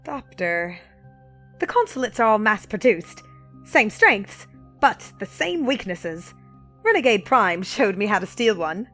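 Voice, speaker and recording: Woman playing a lively, cocky young elf renegade. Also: mid-range voice, faint music behind, exaggerated voice. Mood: sly, confident. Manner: brisk, playful, dramatic. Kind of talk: audio drama acting.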